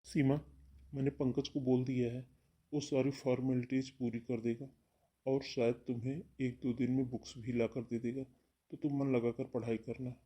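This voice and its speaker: A man's voice: medium pitch, flat delivery, moderate pace, dark tone, slightly rough, close to an everyday voice. A man playing a caring, busy elder brother and husband in a Hindi cartoon moral story. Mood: calm, reassuring.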